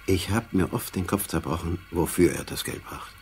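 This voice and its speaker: A dry, dutiful bookkeeper in his late sixties from a radio crime play, acted by a man. The voice is extremely low, the manner precise and aggrieved, with faint noise in the background. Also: normal speed, darkish tone, close to an everyday voice. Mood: aggrieved, worried. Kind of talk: radio crime drama acting.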